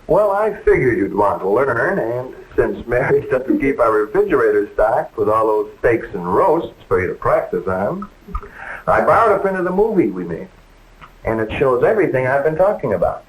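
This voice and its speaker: Lively 1950s film husband acted by a man. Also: medium pitch, moderate pace, close to an everyday voice, rough recording. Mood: genial, confident. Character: genial husband proud of his expert carving. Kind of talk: 1950s training film acting.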